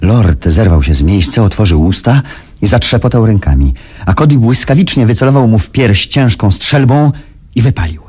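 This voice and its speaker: An actor playing an uneasy witness who narrates a radio drama in a reflective, hesitant way. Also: male voice, lowish voice, animated, normal speed, a little mellow, slightly rough. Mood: uneasy recounting. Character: uneasy male narrator, a thoughtful witness in danger. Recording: noisy old radio drama recording.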